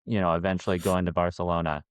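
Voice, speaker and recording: A man's voice: low voice, level tone, fast talker, smooth, dry recording, studio quality. A man hosting a podcast, asking casual questions that ramble and restart. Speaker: podcast host.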